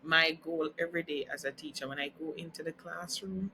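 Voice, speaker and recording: A woman's voice: deep voice, steady tone, brisk, sharp tone, a little hiss or hum, low-quality recording. A Jamaican woman whose first language is Jamaican Creole, a teacher speaking earnestly as a podcast guest and switching into Creole now and then. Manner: earnest, explains carefully, slips into Creole. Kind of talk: casual podcast interview.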